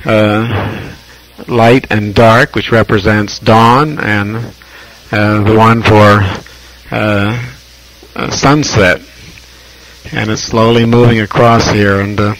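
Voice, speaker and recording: An American man chatting casually as a ham radio operator over shortwave, measured and hesitant, pausing often as he describes things. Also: lowish voice, flat delivery, a bit bright, low-quality recording.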